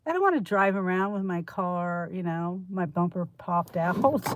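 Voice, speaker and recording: A woman's voice: on the low side, lively intonation, unhurried, a little hiss or hum. An older woman vlogger with a congested head cold, chatting in a rambling, thinking-aloud way and often restarting her sentences. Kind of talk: casual rambling chat to camera.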